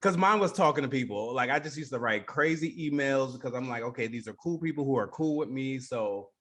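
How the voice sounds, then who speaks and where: A man's voice: mid-range voice, expressive, brisk, a bit bright. A man with a New York accent hosting a casual radio interview.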